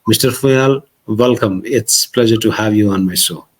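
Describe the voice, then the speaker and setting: A man's voice: fairly low pitch, moderate pace, poor audio. A man hosting a podcast, formally introducing and questioning his guest. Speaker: podcast host. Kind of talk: podcast interview.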